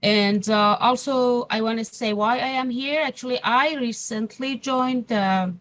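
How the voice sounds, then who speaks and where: A woman from Iran, a grad union's international chair, giving an orientation speech in halting English full of fillers and restarts. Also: on the high side, a bit bright. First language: Persian.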